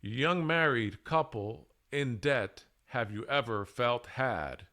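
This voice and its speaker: A man presenting a scripted monologue in a deliberate, halting way, with emphatic pauses between short phrases. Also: medium pitch, expressive, bright, forceful tone. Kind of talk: scripted monologue, public speaking.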